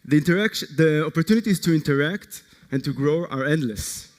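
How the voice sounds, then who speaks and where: A man from Israel, a startup co-founder, giving an earnest, energetic conference pitch that puts direct questions to the audience. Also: a little fast. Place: large conference hall. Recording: long hall reverb.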